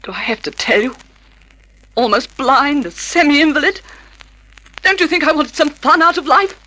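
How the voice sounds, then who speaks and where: An actress playing a bitter, scornful scheming wife in an old-time radio drama, theatrical and venomous. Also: female voice, high voice, normal speed, pushed and bright, low-quality recording.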